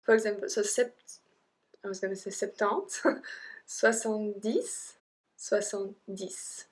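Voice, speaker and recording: A young woman with a French accent, teaching an online language lesson, drilling number words slowly with chatty asides. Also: mid-range voice, steady tone. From French-speaking Europe.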